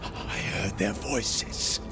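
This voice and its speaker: Weak, gasping wounded scout. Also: male voice. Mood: weak, urgent. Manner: halting, laboured delivery. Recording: loud game soundtrack behind the voice. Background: loud music.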